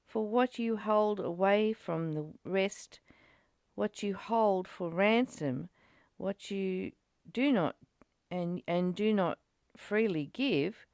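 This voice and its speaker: A woman's voice: mid-range voice, slow, darkish tone, clear, resonant tone, dry recording, studio quality. A woman reading aloud and reflecting in casual discussion, in a musing, questioning and conversational manner. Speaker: study group co-host, reader.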